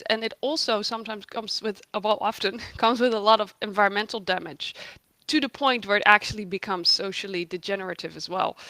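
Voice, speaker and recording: A woman from the Netherlands speaks as an interview guest with a mid-pitched voice. She explains things in a conversational, thoughtful way with firm emphasis, and the recording is dry, with very little room sound. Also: fairly bright tone, no room sound. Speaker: guest, economist and researcher.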